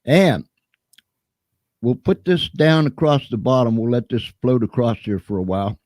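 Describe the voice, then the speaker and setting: A man hosting a live interview show in an upbeat, energetic manner. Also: medium pitch, moderate pace, no echo. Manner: upbeat, energetic host patter. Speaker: show host.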